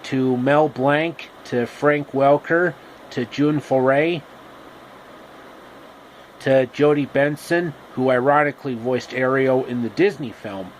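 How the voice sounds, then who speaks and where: Slow-talking man telling a story, background noise. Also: medium pitch, low-quality recording. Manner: halting, repeats phrases. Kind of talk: improvised comic retelling of a fairy tale.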